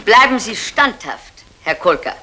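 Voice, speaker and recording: An actress playing a strict, admonishing senior nurse in a vintage German radio comedy. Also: female voice, mid-range voice, sing-song, a little slow, pushed and bright. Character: stern, no-nonsense senior nurse at a sanatorium. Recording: faintly noisy 1960 radio recording.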